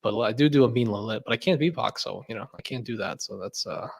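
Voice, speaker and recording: A man's voice: fairly low pitch, fast talker, vocal fry. A young man hosting a casual livestream, reading chat comments and rambling with fillers, restarts and frequent laughs. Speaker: host of a credit card livestream.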